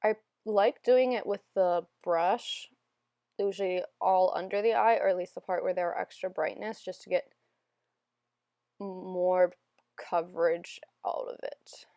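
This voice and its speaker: A young woman narrating a makeup tutorial in a casual, self-deprecating, rambling voiceover, her voice still a little hoarse from being sick. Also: on the low side, steady tone, measured pace, warm tone, no room sound, clean studio sound. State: slightly hoarse, recovering from illness. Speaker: beauty vlogger.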